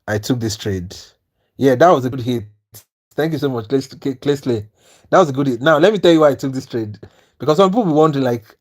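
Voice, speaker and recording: A man's voice: mid-range voice, darkish tone. Earnest Nigerian man hosting a livestream. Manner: earnest, persuasive, repeats "you understand?". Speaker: trading livestream host. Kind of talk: live-stream talk to chat viewers.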